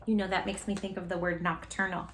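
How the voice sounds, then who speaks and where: A woman reading a children's story aloud in an expressive, exaggerated manner, speaking directly to young listeners. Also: on the low side, quick pace, a little hiss or hum. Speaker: children's storytime host and teacher-like reader.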